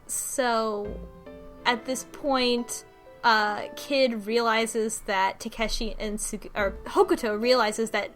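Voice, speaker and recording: A woman co-hosting a podcast, casually retelling a story in halting bursts with false starts and some swearing. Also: a little high, fairly even intonation, a bit bright, faint music behind. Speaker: podcast co-host recapping a story. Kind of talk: casual conversational plot recap.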